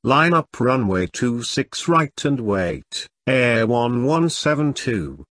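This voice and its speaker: A man reading scripted pilot radio calls in a measured, formulaic way for an English lesson. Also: medium pitch, big pitch swings, fairly bright tone, dry recording, studio quality. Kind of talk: scripted radio phraseology readback.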